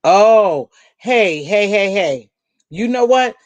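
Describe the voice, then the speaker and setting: A middle-aged woman with a deep voice, hosting a casual livestream from home and chatting bluntly with viewers, calling them baby and girl. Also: animated, slow pace, no echo. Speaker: livestream host. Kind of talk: casual live chat, answering viewer comments.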